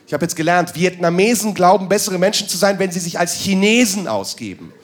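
A man's voice: high voice, animated, fairly quick. A man doing a crude, sardonic stand-up monologue that moves into ranting. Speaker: stand-up comedian.

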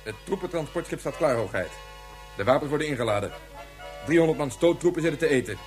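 A man's voice: on the high side, animated, fast talker, faint music behind, lightly acted. An actor playing a dutiful colonel who speaks deferentially to his sovereign in a science fiction radio drama. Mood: brisk, dutiful.

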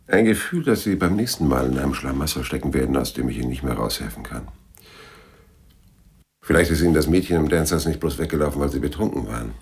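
A man's voice: a bass voice, expressive, quick pace, darkish tone, slightly rough, no room sound, heavily acted. An actor playing a laconic, world-weary private detective who narrates his story dryly in a noir radio drama. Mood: guarded, laconic. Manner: dry, laconic. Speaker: narrator and lead character.

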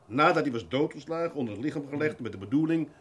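A man playing a shrewd, seasoned police detective in a radio drama, speaking deliberately with thoughtful hesitations in a fatherly way. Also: fairly high pitch, expressive, a bit bright. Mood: musing, shrewd. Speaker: actor in a detective radio play. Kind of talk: naturalistic radio drama dialogue. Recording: audible background noise.

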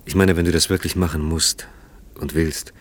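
An actor playing a troubled, subdued engineer in a radio drama, speaking hesitantly and earnestly in short phrases. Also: male voice, very deep voice, steady tone, normal speed. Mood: earnest, awkward. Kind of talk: naturalistic German radio drama acting.

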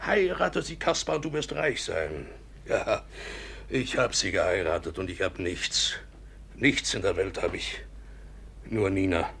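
Defeated trader, radio drama, lowish husky voice, bitter, resentful, sardonic. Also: male voice, lively intonation, measured pace, a bit bright, heavily acted. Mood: despondent. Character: bitter, defeated colonial trader, weary son-in-law. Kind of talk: German radio drama acting.

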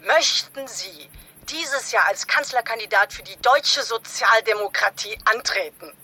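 A woman's voice: a little high, lively intonation, unhurried, pushed and bright, breathy edge, exaggerated voice. An actress playing an awkward, ingratiating female politician on the phone in a satirical radio play, hesitant and polite. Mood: pleading.

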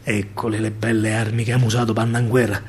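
A man with a Roman accent telling a story in verse as a solo stage actor, theatrical and emphatic, swinging from hushed to loud. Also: fairly low pitch, flat delivery, quick pace.

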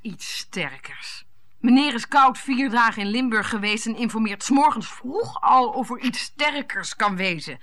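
A woman's voice: a little high, animated, measured pace, a bit bright. A woman playing a sour, sarcastic, scolding wife in a radio play.

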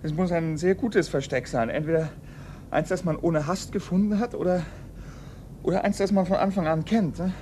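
A man acting a shaken, earnest young police assistant in a German radio crime play, speaking haltingly. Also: sits high, warm tone, slight background noise, close to an everyday voice. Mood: agitated, pondering. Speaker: actor in radio crime drama.

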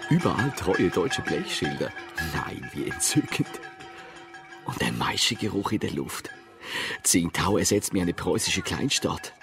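An actor playing a cultured, whimsical artist with a wryly genteel manner in a radio drama. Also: male voice, low voice, big pitch swings, measured pace, creaky, over music, heavily acted. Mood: amused, enchanted.

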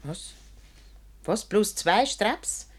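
An actress playing a brisk, bossy corset-shop owner with a southern German accent in a radio play. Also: female voice, mid-range voice, husky, full character voice. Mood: scolding. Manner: effusive flattery turning to brisk scolding.

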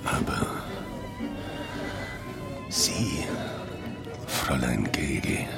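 An actor playing a sentimental, self-important middle-aged boss, gushing and halting, in a radio drama. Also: male voice, extremely low pitch, huge pitch swings, vocal fry, over loud music, full character voice. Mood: maudlin, wooing. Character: sentimental, self-important older male boss courting young women.

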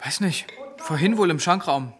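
An actor playing a meek seventeen-year-old milker in a radio play, speaking in a hesitant, muted and polite way. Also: male voice, on the high side, expressive, normal speed, natural voice. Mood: uneasy, subdued.

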